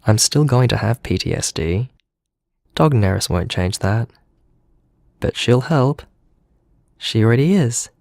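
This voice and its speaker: A man acting a gentle, earnest man healing from trauma in an intimate audio drama monologue. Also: medium pitch, expressive, a little slow, gravelly, pauses often, no room sound, studio quality. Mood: weary, hopeful. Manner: earnest, confiding, reflective.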